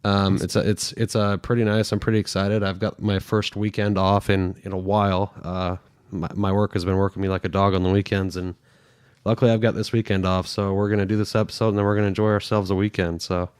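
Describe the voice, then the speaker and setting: A man's voice: sits low, level tone, brisk, a little mellow, clear, resonant tone, no echo. Dry-voiced man hosting a podcast. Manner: chatty, laughs often, many fillers. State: recovering from a head cold, deep voice. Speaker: podcast host. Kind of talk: casual chat.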